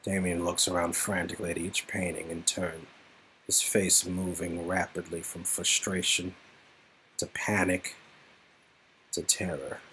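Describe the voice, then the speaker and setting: A man's voice: low voice, level tone, unhurried, pushed and bright, a little hiss or hum, rough recording. A man co-hosting a read-aloud of a story game, moving between scripted narration and casual reaction chat. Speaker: channel co-host, performer.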